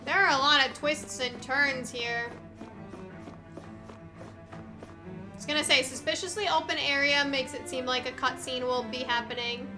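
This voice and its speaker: A woman's voice: high-pitched, fairly even intonation, measured pace, pauses often, over music. A woman doing casual gameplay commentary, reacting in an emphatic, gushing way to the characters. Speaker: let's player, game streamer.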